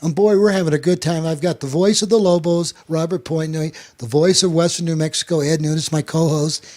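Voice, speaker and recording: Male sports talk host, high-pitched voice, chatty anecdotal delivery with repeated words. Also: steady tone, brisk, darkish tone. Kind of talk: casual chat and anecdote telling.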